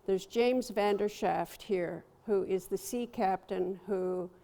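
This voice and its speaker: An older woman artist from Toronto with a soft voice, telling stories slowly and with gentle humor to a live audience in a gallery. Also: mid-range voice, creaky. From Toronto, Canada. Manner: slow, storytelling, gently humorous. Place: gallery hall. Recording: long hall reverb.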